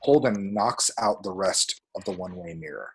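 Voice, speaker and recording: A man's voice: low voice, moderate pace, breathy edge, low-quality recording. A man reading screenplay action descriptions aloud as dramatic, vivid narration at a table read.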